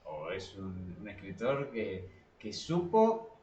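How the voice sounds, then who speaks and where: A man's voice: sits low, sing-song, slow pace, mellow, slight background noise. A man with a Rioplatense Spanish accent co-hosting a casual discussion podcast, speaking informally and emphatically, often repeating his phrases. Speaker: podcast co-host. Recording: echoey room, distant from microphone.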